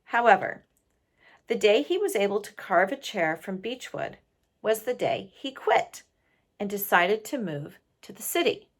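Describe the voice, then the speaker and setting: Slow, lively woman reading a story aloud. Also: medium pitch. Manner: pauses to question listeners. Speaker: storybook reader. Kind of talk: expressive read-aloud to listeners.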